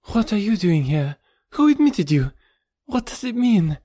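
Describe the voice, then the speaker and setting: Male narrator as young French countess, frightened, emotional, animated pitch, dramatized storybook dialogue. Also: unhurried, dry recording. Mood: startled, indignant. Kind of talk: dramatized audiobook character dialogue.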